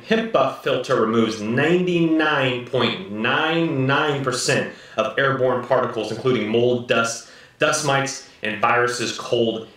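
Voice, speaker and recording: A man in his thirties, slightly hoarse, casually reviewing and unboxing a gadget at home in an upbeat manner. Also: medium pitch, fairly bright tone. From United States. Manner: upbeat, repeats "very, very cool, guys". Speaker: product reviewer. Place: living room at home. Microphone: camera mic from a short distance. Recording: echoey room, slightly distant mic.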